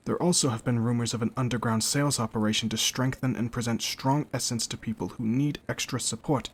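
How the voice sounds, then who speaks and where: An actor playing an earnest young scholarly knight in a fantasy audio drama, reasoning in a measured, placating way. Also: male voice, medium pitch, fairly even intonation, breathy edge. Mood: calm reasoning.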